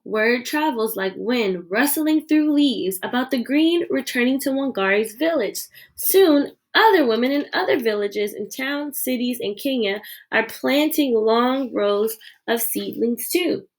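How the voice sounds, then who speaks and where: Woman doing a picture book read aloud, expressive, voice a bit on the high side. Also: measured pace, hardly any pauses.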